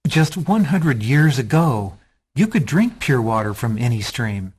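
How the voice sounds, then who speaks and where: An earnest activist rebel in a radio drama, acted by a man. The voice sits at a middle pitch and argues with defiance and insistence. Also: sing-song, unhurried, a little mellow, slightly rough. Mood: insistent.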